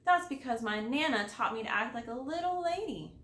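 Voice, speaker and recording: A woman's voice: a little high, animated, moderate pace, fairly bright tone. Woman as a prissy, smug little girl, echoey. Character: prim, boastful little girl classmate.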